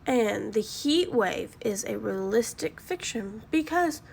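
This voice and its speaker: A woman reading aloud to children like a teacher, expressive and deliberate, explaining and asking questions. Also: fairly high pitch, vocal fry, a little hiss or hum.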